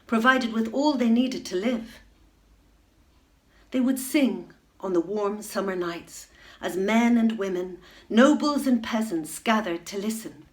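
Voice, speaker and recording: Slow, expressive middle-aged woman reading aloud. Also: mid-range voice. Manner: unhurried and expressive, dropping to a hush at tense moments. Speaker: storyteller reading a children's book. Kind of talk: bedtime story reading. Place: room at home, indoors. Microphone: webcam facing the reader.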